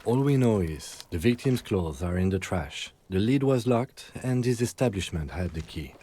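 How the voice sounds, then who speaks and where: An actor playing a composed, methodical police lieutenant in a video game, speaking with restrained, procedural precision. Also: male voice, lowish voice, lively intonation, normal speed, dark tone, a little hiss or hum, natural voice. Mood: composed, businesslike. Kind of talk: scripted game dialogue.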